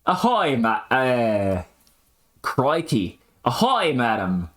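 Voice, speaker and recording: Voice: mid-range voice, very animated, slow pace, fairly bright tone. A reader voicing an earnest young adventurous man in an attempted Australian accent, with hammy, quaint exclamations. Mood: hearty.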